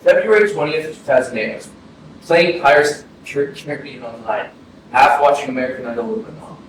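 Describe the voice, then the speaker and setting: A grieving young storyteller played by a man delivers a reflective stage monologue in a moderately high male voice. The recording is very reverberant, with clear background noise. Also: brisk, close to an everyday voice. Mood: reluctant, haunted. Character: grieving young man recounting a friend's death. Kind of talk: staged play reading, dramatic monologue. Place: arts center stage, distant mic. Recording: very echoey hall, distant, clear noise.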